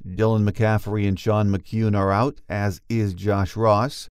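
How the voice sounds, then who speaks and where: A man's voice: on the low side, steady tone, slow pace, clear, resonant tone, no room sound, studio quality. An American man hosting a sports podcast, moving between chat and scripted notes. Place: dry studio.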